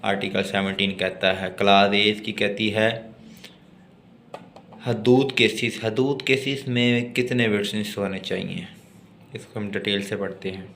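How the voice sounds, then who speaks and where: A man with a Pakistani accent giving an explanatory law lecture, reading out and explaining in a deliberate, instructive way. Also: fairly low pitch, fairly even intonation, fairly bright tone, slight background noise.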